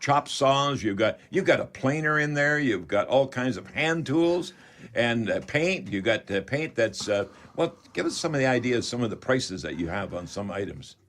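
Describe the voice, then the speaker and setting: An older man with a Canadian accent speaks as a radio host in a medium-pitched voice. He is chatty and folksy, talking to the audience as if to neighbours. His delivery is that of a home improvement call-in show. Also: animated, normal speed, a bit bright. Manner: chatty, addresses listeners as folks.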